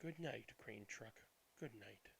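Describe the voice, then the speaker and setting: A man narrating a children's bedtime storybook in an expressive, deliberate way. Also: fairly low pitch, gravelly, slight background noise, poor audio. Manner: animated, deliberate reading with hushed good nights. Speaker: read-aloud channel host.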